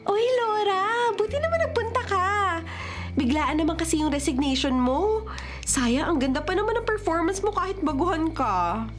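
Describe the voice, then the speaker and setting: A chatty, friendly female colleague in a Filipino radio drama, with a Taglish lilt. Her voice is high, with music playing behind it. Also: very animated, moderate pace. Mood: chatty, curious.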